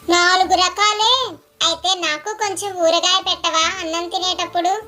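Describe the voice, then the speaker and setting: A woman's voice: extremely high pitch, fairly even intonation, normal speed. A woman voicing a childlike, gossipy, competitive schoolgirl in a cartoon story. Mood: chatty, nostalgic. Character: chatty, competitive hostel schoolgirls, scheming friends. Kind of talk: acted cartoon story dialogue.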